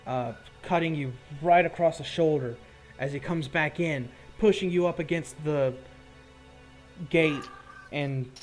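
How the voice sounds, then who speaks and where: A man's voice: medium pitch, a little mellow. A man narrating a tabletop fantasy game as game master, describing action in a conversational, improvised way. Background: background music.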